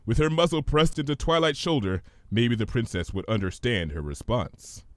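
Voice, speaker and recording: A man's voice: medium pitch, animated, moderate pace, no echo. A man reading a scripted story aloud as narrator. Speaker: fan fiction reader, narrator.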